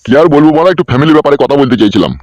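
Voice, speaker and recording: গ্রামবাংলার টানে একজন পুরুষ কার্টুনে এক খিটখিটে, সহজে চটে যাওয়া গ্রামের লোকের গলা দিচ্ছে।. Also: male voice, medium pitch, lively intonation, rapid-fire, a little mellow, muffled recording, close to an everyday voice. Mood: irritated. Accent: rural West Bengali. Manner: irritable, dismissive. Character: grumpy, easily offended villager seeking the boss. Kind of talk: amateur comedy cartoon dub.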